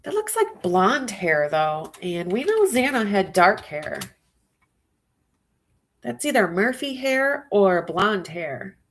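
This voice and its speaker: A woman's voice: medium pitch, very animated, takes it slowly. A woman hosting a true crime livestream, musing aloud to her viewers with a stuffy nose. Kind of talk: casual live-stream commentary.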